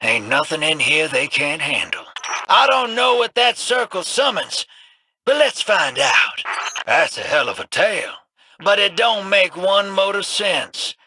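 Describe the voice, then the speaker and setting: An actor playing a cocky, folksy old gunslinger rogue in a video game, talking with a drawling, wisecracking swagger. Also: male voice, sits high, sing-song, unhurried, pushed and bright, slightly rough, no room sound, full character voice. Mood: wry. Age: older.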